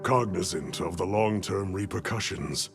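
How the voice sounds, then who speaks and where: A deep, gravelly commander. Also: male voice, over music. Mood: grave, reflective. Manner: slow, deliberate, formal. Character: grave, commanding senior male guild leader, measured and authoritative. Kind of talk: dramatic video game cutscene acting.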